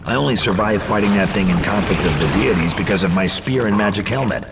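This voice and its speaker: An actor playing a sardonic, wisecracking video game action hero with a gruff, deadpan delivery. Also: male voice, fairly low pitch, fast, heavy background noise. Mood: wry. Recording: loud music behind.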